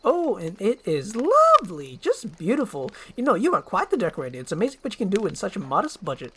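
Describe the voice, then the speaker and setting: Woman acting male cartoon donkey, talkative, eager, needy, wheedling, animated pitch. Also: fast talker, breathy edge, full character voice. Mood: chatty. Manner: chatty, eager, rambling, wheedling. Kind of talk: amateur screenplay voice acting.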